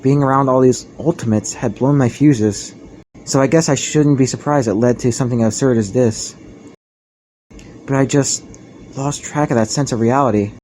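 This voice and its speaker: A man's voice: medium pitch, normal speed, darkish tone, a little hiss or hum, lightly acted, poor audio. Earnest, puzzled teenage boy narrator played by a man. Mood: dazed reflection. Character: earnest, bewildered ordinary teenage boy narrating his ordeal. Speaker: protagonist and narrator. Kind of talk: scripted anime game fandub narration. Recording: music behind the voice.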